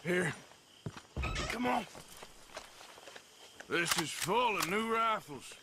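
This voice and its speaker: An actor playing a seasoned, laconic gunslinger in a western video game, dry and protective, with an American accent. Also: male voice, sits high, animated, very slow, noisy background, heavily acted. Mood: brisk. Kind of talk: naturalistic video game acting.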